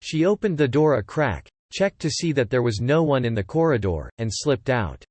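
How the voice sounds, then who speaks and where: Measured male audiobook narrator, very dry sound. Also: mid-range voice, big pitch swings, darkish tone, no room sound. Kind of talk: scripted fiction narration.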